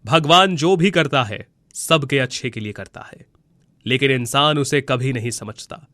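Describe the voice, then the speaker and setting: A man voicing a kindly magical mango tree in a moral storybook tale, gentle and reassuring in how he speaks. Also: mid-range voice, a little slow, no room sound. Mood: consoling. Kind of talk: Hindi moral storybook voice acting.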